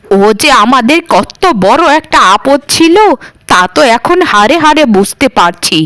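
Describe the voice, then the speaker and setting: A woman's voice: high voice, lively intonation, unhurried, bright, forceful tone, no echo, full character voice. A woman voicing a spiteful, scolding middle-aged village mother in a cartoon, scornful and exaggerated. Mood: gloating. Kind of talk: Bengali cartoon voice acting.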